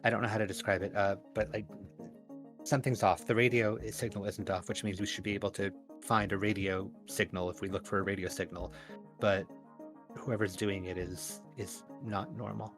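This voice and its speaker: Roleplay player, very low pitch, hesitant, repetitive improvised delivery, music bed. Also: little pitch movement, normal speed. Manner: hesitant, stammering, repeats words. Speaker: tabletop game player. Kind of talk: in-character improvised talk. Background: background music.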